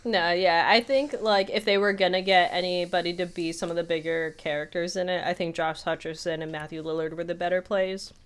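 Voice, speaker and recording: A woman's voice: on the low side, fairly even intonation, brisk, hardly any pauses, clear, resonant tone. A woman co-hosting a podcast, chatting casually about film in a rambling way with lots of "like". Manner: rambling, peppers talk with "like". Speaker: podcast co-host. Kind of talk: casual film discussion chat.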